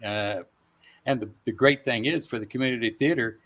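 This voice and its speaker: A middle-aged man with an average-pitched voice talks casually as an interview guest. He explains and recounts things in a conversational storytelling way. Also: fairly quick, fairly bright tone. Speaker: guest voice actor.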